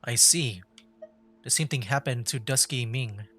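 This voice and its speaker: A man's voice: mid-range voice, unhurried, bright, forceful tone, soft background music. Casual male game streamer musing aloud. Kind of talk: casual live gameplay commentary.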